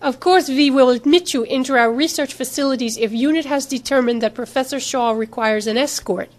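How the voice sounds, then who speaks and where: Lady doing a polite smooth lady doctor in charge, guarded, formal, highish voice, audiobook. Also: expressive, moderate pace. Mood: crisp, dismissive. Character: smooth, cautious, authoritative female doctor running research.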